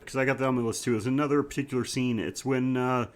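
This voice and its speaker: A man's voice: medium pitch, steady tone, fast talker. A man co-hosting a podcast, discussing film casually with emphatic, frequent swearing. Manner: profane, emphatic. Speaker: podcast co-host.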